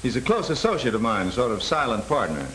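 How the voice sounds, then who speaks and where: An actor playing a smooth, scheming middle-aged American broker in 1930s film style. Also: male voice, mid-range voice, very animated, fairly quick, fairly bright tone, poor audio. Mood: suavely genial. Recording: hissy 1930s film soundtrack.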